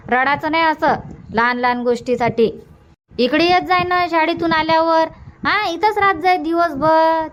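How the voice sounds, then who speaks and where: कार्टूनमधल्या हुकमी पण काळजी घेणाऱ्या गावाकडच्या मामीला वैदर्भीय मराठीत आवाज देणारी बाई, आग्रहाने बोलणारी. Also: female voice, high-pitched. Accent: Vidarbha Marathi. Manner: insistent, repetitive. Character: bossy but caring village wife and aunt. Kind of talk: cartoon comedy dubbing.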